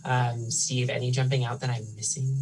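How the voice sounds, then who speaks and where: A man from student life answering on a panel, soft-spoken but enthusiastic, correcting himself as he goes. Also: medium pitch, flat delivery, moderate pace. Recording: distant mic, faint hum.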